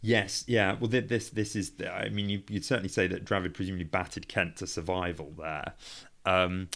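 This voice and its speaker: A man's voice: low-pitched, level tone, moderate pace, gravelly, fairly bright tone. A man co-hosting a podcast, talking through cricket history in a conversational, thoughtful way and qualifying his points as he goes. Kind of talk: conversational discussion and storytelling.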